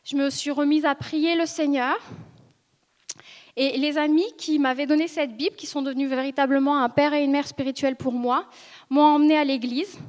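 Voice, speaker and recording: A woman in her thirties, from northern France, speaks with a high voice. She shares her testimony with a church audience, earnest and hesitant, pausing and restarting often. Her voice carries a long reverb from the hall. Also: fairly even intonation. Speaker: church member giving testimony. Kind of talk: personal testimony to a congregation. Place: church ministry school meeting room. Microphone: seated speaker, filmed for video.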